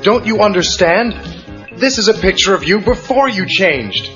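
A male actor playing an eager, excitable, loyal teenage boy on a children's read-along record. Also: high-pitched, moderate pace. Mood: amazed, earnest. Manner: excitable, exclaiming. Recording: muffled cassette audio, faint music.

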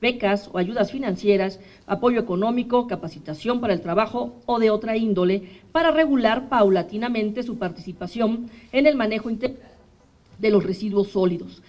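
A woman in her thirties with a Mexican accent, reading a formal statement at a podium microphone for a human rights commission, measured and steady. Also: mid-range voice. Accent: Mexican Spanish. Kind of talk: formal statement read aloud. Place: indoor press room, at a podium.

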